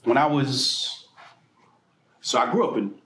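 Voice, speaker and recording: A man from New Jersey tells personal stories to a room, animated and profane, his voice jumping widely in pitch. He drops to quiet asides, then bursts loud again. The sound bounces around an echoey event room with faint background ambience. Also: slow pace, a little hiss or hum. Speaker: speaker at a political mixer. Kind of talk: personal storytelling speech.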